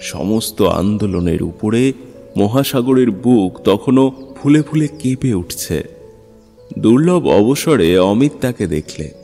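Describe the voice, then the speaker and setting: A man narrating a literary story in a measured, expressive, dramatic style. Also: on the low side. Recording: long studio reverb, music underneath. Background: music.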